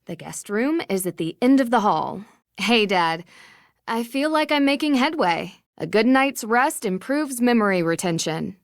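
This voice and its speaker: A woman playing a meticulous, inquisitive young amateur detective in a video game, speaking in a measured, articulate way. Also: on the high side, big pitch swings, dry recording, studio quality. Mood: musing, friendly. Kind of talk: scripted video game voice acting.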